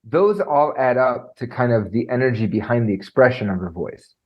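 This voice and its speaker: A man coaching on presentation skills, talking in an animated way and filling his speech with "like" and "right?"; he has a trained high singing voice. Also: normal speed, husky, muffled recording. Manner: animated, lots of fillers like "like" and "right?". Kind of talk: conversational podcast interview.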